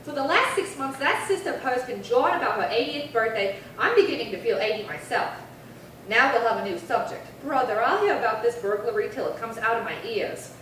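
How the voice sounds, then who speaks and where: Radio drama role: actress as sassy young female model, high voice, very animated, wisecracking and griping. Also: a little fast. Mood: sardonic. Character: brash, slangy struggling young model and actress. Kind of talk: 1950s radio crime drama acting. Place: live theatre stage reading. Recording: echoey hall, distant mic, faint noise.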